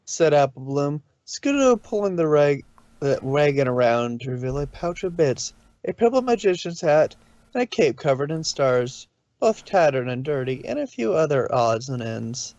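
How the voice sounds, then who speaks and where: A man's voice: medium pitch, animated, unhurried, a little mellow, dry recording. Man narrating a story, dull recording. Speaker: narrator of a fan fiction reading. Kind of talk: scripted story narration read aloud.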